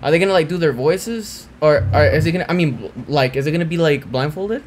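A man's voice: fairly high pitch, fast talker, slight background noise. A young man streaming from a small room, chatting casually with his viewers while reacting to a video. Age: young adult. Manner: chatty, talks to viewers, trails off and restarts. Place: small cluttered room with green screen.

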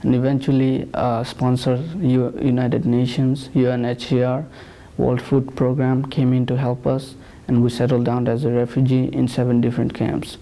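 Gravelly, flat-voiced young man from Nepal. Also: medium pitch, rough recording. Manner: measured, with frequent uh pauses. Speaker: interviewee. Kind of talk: personal account. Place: small plain room indoors. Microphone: lapel mic.

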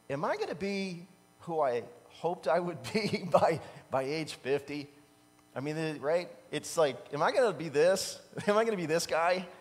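A man in his fifties preaching a casual, conversational sermon from a church pulpit, telling personal stories and chuckling at himself. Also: fairly high pitch. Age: 50s. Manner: animated storytelling, laughs at his own stories. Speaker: pastor. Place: large church sanctuary stage. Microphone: standing at a pulpit mic, far camera. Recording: long reverb from a church hall.

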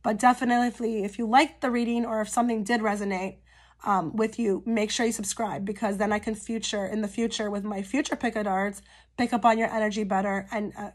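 A woman giving a casual pick-a-card tarot reading straight to her viewers, her voice a little hoarse and cracky from a cold, often checking in with "okay?". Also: mid-range voice. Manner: chatty, frequent "okay?" check-ins with viewers. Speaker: tarot reader.

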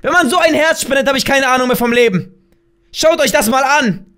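Hoarse, shouty young man gaming. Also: very high voice, brisk. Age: young adult. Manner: loud, shouty, laughing, playful exclamations. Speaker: gaming YouTuber. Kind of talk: excited gameplay commentary. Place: small room at home, gaming chair. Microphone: headset with desk mic.

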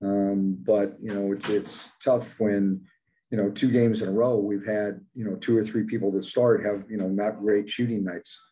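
Older male coach, matter-of-fact, low mellow tone, webcam press conference. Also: fairly even intonation, normal speed, a little hiss or hum, rough recording. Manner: plain-spoken, many fillers, matter-of-fact. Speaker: basketball head coach. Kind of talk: postgame press conference answers. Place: indoors in front of a logo backdrop. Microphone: video call, webcam at desk.

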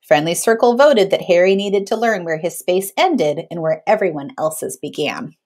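A woman in her thirties reading a picture book aloud to children in an expressive, teacherly way. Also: lowish voice, normal speed. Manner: teacherly, checks in with listeners.

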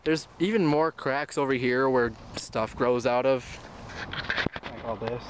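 A young man narrates his walk through an abandoned tennis court, chatty and rambling as he points things out. His voice sits in a mid range for a man. It comes through a handheld camera mic outdoors, with clear background noise all around. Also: medium pitch, unhurried. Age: young adult. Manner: rambling, chatty, laughs often. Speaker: vlogger. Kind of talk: casual exploring vlog.

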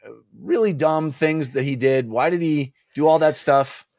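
A man's voice: fairly high pitch, moderate pace, no echo. A man in his forties chatting casually and jokily as a podcast host, acting out bits of a story, close on a studio microphone. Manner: animated, jokey, acting out characters' lines. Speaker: book club podcast host. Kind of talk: casual recap chat about a novel. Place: small indoor home studio. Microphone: close studio microphone on a boom arm.

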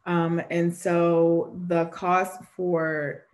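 A woman's voice: fairly low pitch, little pitch movement, extremely slow, darkish tone. A young woman moderating an online Q&A over a video call from a room at home. She explains admissions steps and relays questions from the chat. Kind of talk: informational webinar Q&A. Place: home room with framed pictures.

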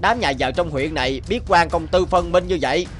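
A man's voice: on the high side, brisk, faint music behind, lightly acted. An actor playing an anxious, deferential male attendant to a magistrate in a cartoon.

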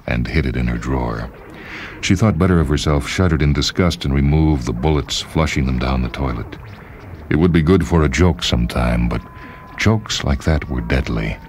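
A man reading literary fiction aloud as scripted narration, in a measured, dramatic way. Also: rumbling low, a little mellow. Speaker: story reader.

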